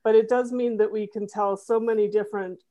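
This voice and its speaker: A woman's voice: medium pitch, fairly even intonation, brisk, warm tone. A woman who makes a comedy fiction podcast, explaining her genre earnestly as an interview guest, with plenty of fillers and restarts. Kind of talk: casual interview.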